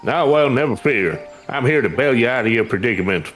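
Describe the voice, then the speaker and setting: A man's voice: mid-range voice, animated, brisk, a bit bright, lightly acted. A cocky, grumpy male blacksmith from a fantasy game, put on by a man, talks in blunt rustic slang. He sounds sardonic and boastful, and there is music playing behind him. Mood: cocky, teasing. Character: brash, boastful, grumpy male master weaponsmith. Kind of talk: fantasy game dialogue read aloud.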